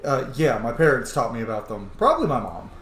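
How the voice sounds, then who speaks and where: A man giving casual, sweary, playful commentary as he plays a video game, excitable in his delivery. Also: medium pitch, brisk. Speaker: gamer, let's play host. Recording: roomy echo, faint background noise.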